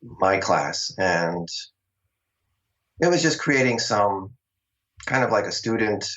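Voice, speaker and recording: A man's voice: fairly low pitch, lively intonation, slow, a bit bright, a little hiss or hum. A middle-aged man from Long Island telling stories about his acting start in a casual interview, chatty and laughing often. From Long Island, New York. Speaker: interview guest, actor. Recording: dull, lo-fi sound.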